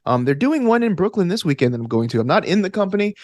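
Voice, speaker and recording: A man's voice: high voice, big pitch swings, fast, dry recording, studio quality. A man in his twenties with an Alabama Southern accent, chatting casually and enthusiastically in informal, slangy language as an interview guest. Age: 20s. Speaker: guest, actor and stage combat student.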